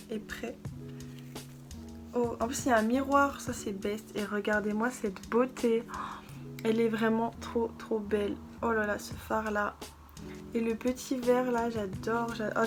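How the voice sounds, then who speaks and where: A young woman talks through her beauty haul in a chatty, gushing way, her voice sitting a little high. Clear background music plays under her casual vlog chat. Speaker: beauty vlogger. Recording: music playing behind.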